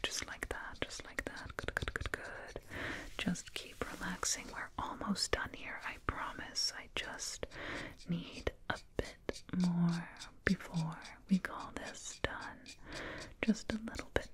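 A woman voicing a soothing, condescending alien dentist in a breathy whispered ASMR roleplay, close to a binaural microphone. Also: on the low side. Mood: hushed, reassuring. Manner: slow, soothing, murmured repetitions. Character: condescending, soothing alien dentist, fussing over a little human.

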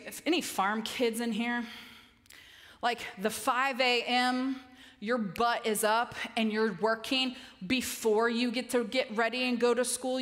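Woman in her 40s giving a chapel talk, higher voice, lots of emphasis, hall reverb. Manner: animated, emphatic, talks with her hands. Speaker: chapel speaker. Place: large stage in a dark auditorium. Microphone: headset mic. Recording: long reverb of a large hall.

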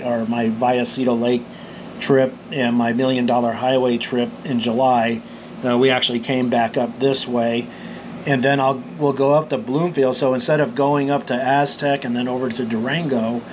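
A middle-aged man from New Mexico calmly explains road maps for motorcycle trip planning, rambling with fillers and restarts. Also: mid-range voice, a little hiss or hum, poor audio. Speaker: motorcycle vlogger.

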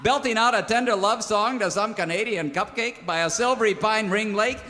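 A folksy cowboy radio pitchman with a high, bright voice. The delivery is hearty and theatrical, selling hard in a parody commercial, with long hall reverb around it. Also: moderate pace.

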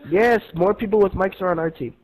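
A man's voice: high voice, fairly quick, rough recording. A man with a slightly raised voice talks casually over game voice chat. He sounds like an everyday player making small talk.

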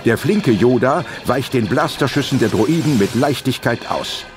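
A man's voice: medium pitch, animated, normal speed, over music. A man narrating a scripted audio drama as the storyteller.

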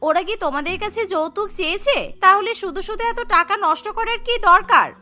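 A woman's voice: extremely high pitch, brisk, pushed and bright, full character voice. A woman voicing an affectionate, outspoken young sister and bride in a cartoon, pert and pleading. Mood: insistent.

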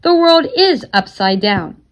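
A woman's voice: mid-range voice, very animated, takes it slowly, highs cut off. Woman reading as a playful, teasing young girl.

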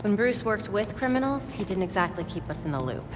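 A woman's voice: mid-range voice, very animated, brisk, noisy background, lightly acted. An actress playing a blunt, teasing, perceptive young woman vigilante in a video game cutscene. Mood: frank, supportive. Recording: long hall reverb, music underneath. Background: music.

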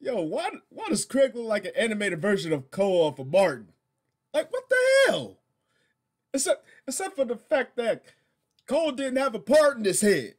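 Casting: American man, middle-aged reaction host, halting and exasperated, very animated pitch swings. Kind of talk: casual reaction commentary.

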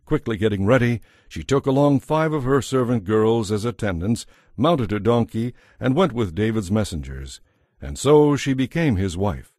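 Low-voiced man narrating scripture. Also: lively intonation, normal speed, a little mellow, no echo, studio quality.

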